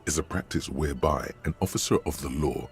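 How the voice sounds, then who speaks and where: An actor voicing a brooding, all-knowing inner voice in a game, solemn and literary in manner. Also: male voice, a bass voice, a little fast, faint music behind. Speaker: game narrator. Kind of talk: scripted game narration.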